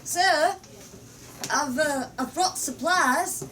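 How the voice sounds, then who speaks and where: A woman's voice: high-pitched, very slow, fairly bright tone, voice pushed up, heavily acted. A woman voicing a polite, modest farm boy in a storybook, with a hesitant manner. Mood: eager, hesitant. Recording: distant mic in noisy room.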